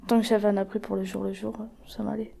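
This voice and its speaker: A woman in her twenties from the Paris region speaks plainly to an interviewer. She pauses often and hesitates with fillers. Her voice is mellow and dark, and her pitch sits at an ordinary middle level. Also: little pitch movement, vocal fry. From Paris region, France. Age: 20s. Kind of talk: documentary interview testimony.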